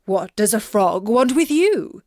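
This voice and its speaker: A woman playing a calm, principled king and father in a children's storybook, formal and commanding. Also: mid-range voice, measured pace, a little hiss or hum. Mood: concerned questioning.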